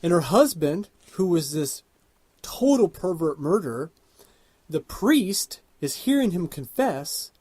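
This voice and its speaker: A man with a somewhat husky, slightly high voice, talking casually on a podcast. He sounds earnest and analytical, laying out the film's themes one character at a time. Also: big pitch swings, measured pace, a little mellow, dry recording. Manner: earnest, analytical, leads with questions and summaries. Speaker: podcast co-host. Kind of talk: casual conversational film discussion.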